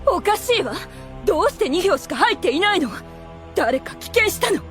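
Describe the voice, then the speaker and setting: High, theatrical, proud demoness. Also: female voice, sing-song, normal speed, faint music behind. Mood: shocked. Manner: theatrical, formal feminine speech. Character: proud, scheming demon woman, dramatic and easily affronted. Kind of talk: Japanese anime audio drama acting.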